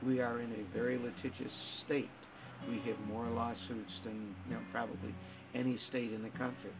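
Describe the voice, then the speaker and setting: A man speaking for a medical society in an interview, formal and deliberate. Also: mid-range voice, little pitch movement, music in the background, low-quality recording.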